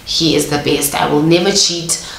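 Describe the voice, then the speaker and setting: A young South African woman with a Sotho accent, talking to her viewers in an easy, chatty way and sprinkling in affectionate pet names. A cold leaves her a little nasal and her voice sits on the low side. The room around her is roomy, with a faint hiss underneath. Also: steady tone, moderate pace, gravelly, fairly bright tone. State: nasal from a flu. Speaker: vlogger. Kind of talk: casual chat to camera. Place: small room indoors, couch, pink lighting. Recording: roomy indoor sound with faint noise.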